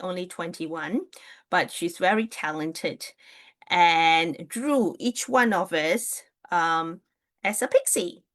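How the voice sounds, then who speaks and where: Woman, live-stream chat, unhurried delivery, lowish voice, rambling and friendly with viewers. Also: a bit bright. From New Zealand. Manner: chatty, digressive, greets viewers.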